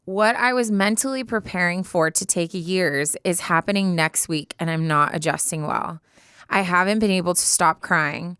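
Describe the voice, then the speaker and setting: Clear, lower-pitched American woman. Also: moderate pace, sharp tone, no echo, clean studio sound. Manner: informal, slangy. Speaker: podcast co-host. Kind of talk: casual banter and reading a listener letter aloud. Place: podcast studio.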